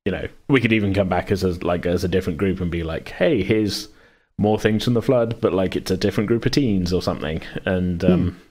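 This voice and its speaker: Podcast host and game master: British man, low voice, loose, rambling, jokey conversational delivery. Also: a little fast, few pauses, darkish tone. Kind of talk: casual chat about a tabletop game.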